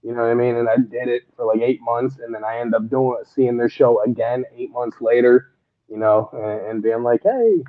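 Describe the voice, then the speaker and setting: A man's voice: medium pitch, normal speed, phone-quality sound. Man telling rambling stand-up stories. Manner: laughs often, rambling storytelling. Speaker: guest comedian. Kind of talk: casual interview chat.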